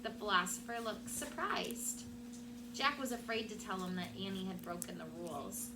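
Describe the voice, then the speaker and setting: A woman teacher doing an expressive storybook read-aloud for her class. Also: mid-range voice, moderate pace. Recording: clear background room noise.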